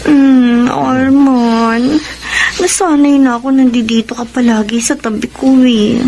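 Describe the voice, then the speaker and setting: A woman's voice: on the high side, fairly even intonation, takes it slowly, dark tone, slight background noise, poor audio. A woman with a Filipino accent playing a clingy, sweetly manipulative mistress in a radio drama. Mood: sulky, clinging.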